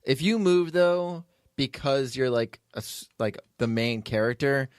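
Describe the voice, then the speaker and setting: A man's voice: medium pitch, unhurried, clean tone, dry recording. Man chatting casually, dry close sound. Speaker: writer and producer, podcast host. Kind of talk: casual behind-the-scenes chat.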